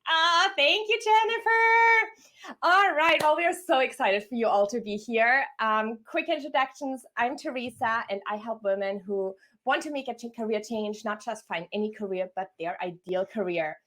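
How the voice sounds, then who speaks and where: A woman's voice: fairly high pitch, moderate pace, bright, forceful tone. An enthusiastic, effusive woman from Germany, talking conversationally as a career coach hosting a live online workshop.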